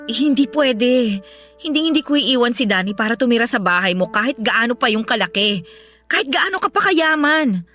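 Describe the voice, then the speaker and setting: An actress playing a hesitant, loyal Filipina widow in a Tagalog radio drama. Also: female voice, a little high, expressive, normal speed, pushed and bright, faint music behind. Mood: reluctant, protesting.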